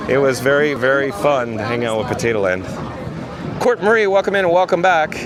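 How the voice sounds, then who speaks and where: A man with a hoarse, raspy voice live-streaming from outdoors at a theme park, reading viewer comments aloud in an upbeat, chatty way. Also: medium pitch, animated, a little fast, background noise. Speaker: vlogger. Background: music.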